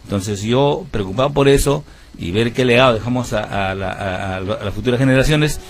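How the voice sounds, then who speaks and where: A man's voice: mid-range voice, fairly quick. A man from Peru with a Peruvian Spanish accent, a musician and band founder, giving an earnest radio interview. He tells his story at length with many fillers and restarts.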